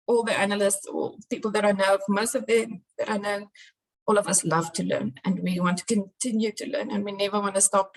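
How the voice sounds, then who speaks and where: A woman's voice: medium pitch, vocal fry, slightly rough, poor audio. A middle-aged woman, giving an earnest conference talk on crime analysis, with frequent ums. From South Africa.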